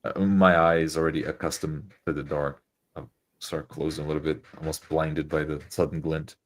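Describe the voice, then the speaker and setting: A man with an extremely low voice plays a tabletop game. He describes his character's moves casually and a bit haltingly. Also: flat delivery. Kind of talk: casual first-person roleplay narration.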